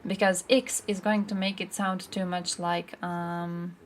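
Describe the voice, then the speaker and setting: A woman's voice: lowish voice, flat delivery, a little slow, a little hiss or hum. A woman from Croatia, chatting casually and informally as a livestream host, with some swearing. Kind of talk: casual livestream chat, reacting to chat and posts.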